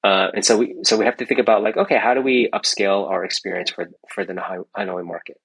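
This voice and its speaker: A gravelly, somewhat husky man in middle age talks through a casual interview. His voice sits on the low side, and he rambles along, restarting phrases and tagging on "right?" as he goes. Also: fairly even intonation, quick pace, fairly bright tone, rough recording. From United States. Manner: rambling with many restarts and tag questions. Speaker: entrepreneur guest.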